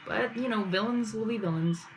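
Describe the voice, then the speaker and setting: A woman's voice: on the high side, moderate pace, rough recording. A woman giving casual live reaction commentary as host of a reaction video.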